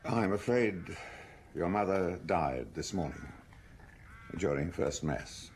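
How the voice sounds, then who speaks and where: An actor playing a gruff, worldly country doctor in a radio detective drama, grave and matter-of-fact, with a gravelly voice. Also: male voice, low-pitched, expressive, takes it slowly, a little mellow, slight background noise, heavily acted.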